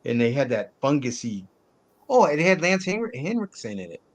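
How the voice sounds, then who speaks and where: A man's voice: fairly high pitch, sing-song, normal speed. Older male panel host, casual film talk, rambling, opinionated, colloquial. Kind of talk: casual movie discussion.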